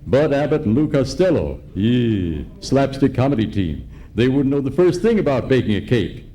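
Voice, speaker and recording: A man's voice: mid-range voice, sing-song, moderate pace, mellow, lightly acted. A man playing a pompous, blustery middle-aged man in an old-time radio comedy, live on stage. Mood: flustered. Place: live on stage in a large hall.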